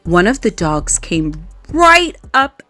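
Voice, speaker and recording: A woman reading a children's story aloud in an expressive way, drawing out words for dramatic emphasis. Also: mid-range voice, slow pace, no room sound, soft background music. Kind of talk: expressive read-aloud narration for young children.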